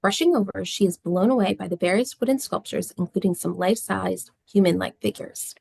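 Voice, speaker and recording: Creaky-voiced woman narrating a script. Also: medium pitch, a little fast, darkish tone, no room sound. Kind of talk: scripted narration of stage directions.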